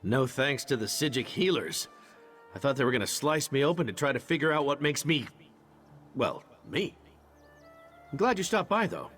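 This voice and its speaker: An actor playing a charming, candid knight in a fantasy video game, wry and conversational, hesitant when confiding. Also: male voice, medium pitch, very animated, normal speed, long pauses, a bit bright, faint music behind. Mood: easygoing, wry. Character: charming, candid male knight champion of a goddess. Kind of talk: video game character dialogue.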